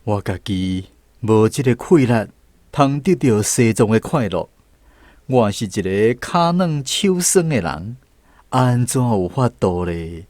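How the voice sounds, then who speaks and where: A man's voice: fairly low pitch, lively intonation, slow, darkish tone, no room sound. An actor playing a weak, weary lost man searching for peace, earnest and pleading, in a Taiwanese radio drama.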